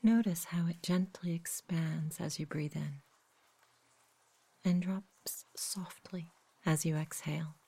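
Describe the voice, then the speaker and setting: Low, breathy whispering woman meditation guide. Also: steady tone. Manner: soft, gentle, unhurried. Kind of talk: guided sleep meditation, slow soothing narration.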